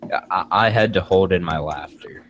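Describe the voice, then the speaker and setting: A man's voice: low voice, moderate pace, a bit bright, lo-fi sound. A man speaking as a host in a casual group discussion, giving his commentary.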